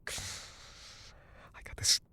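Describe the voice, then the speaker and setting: A man acting a guilt-ridden, delusional father and space pilot in a horror audio drama, halting and stammering as he rambles. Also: bright, forceful tone, no room sound, exaggerated voice. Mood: drained, confessional.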